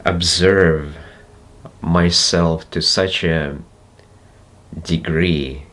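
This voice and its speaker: A man with a Russian accent, a native Russian speaker, talking slowly and reflectively to camera and pausing to search for words. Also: deep voice, fairly even intonation, a bit bright. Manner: slow, deliberate, searching for words with pauses. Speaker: self-development video host. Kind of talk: slow reflective monologue to camera.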